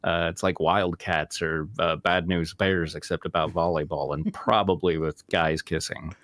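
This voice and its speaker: A man's voice: deep voice, fairly even intonation, normal speed, fairly bright tone. A man chatting casually as a comedy panelist, explaining in a rambling, tentative way. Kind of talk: casual chat.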